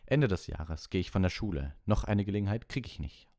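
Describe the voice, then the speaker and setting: A man's voice: low-pitched, animated, a little mellow, no room sound, voice pushed up. A man acts out a desperate, driven schoolboy sports captain from an audiobook. His voice is raised well up, pushing each phrase out with heavy emphasis in a very dry recording. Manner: emphatic, slow stressed phrases. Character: intense, desperate schoolboy team captain giving pep talk. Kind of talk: audiobook character voice.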